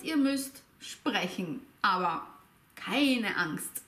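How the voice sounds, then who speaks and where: A woman in her fifties teaching a language lesson, speaking slowly and over-articulating every word. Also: fairly high pitch. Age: 50s. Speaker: German teacher. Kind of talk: slow, clear language lesson. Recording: roomy echo.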